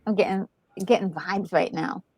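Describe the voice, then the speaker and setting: A woman's voice: fairly low pitch, fairly even intonation, normal speed, darkish tone. A woman co-hosting a gaming video, chatting casually as she reacts to the story. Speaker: gaming co-host. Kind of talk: casual chat, reacting to gameplay.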